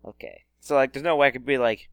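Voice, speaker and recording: A man's voice: mid-range voice, fairly even intonation, quick pace, a bit bright, clean tone, dry recording. Teasing podcast host man, dry sound. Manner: teasing, joking. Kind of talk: casual chat.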